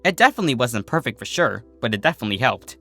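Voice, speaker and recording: A man narrates a scripted story in a bright voice. His pitch moves in lively swings, and faint music plays behind him. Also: very fast, creaky. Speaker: storyteller reading fan fiction aloud.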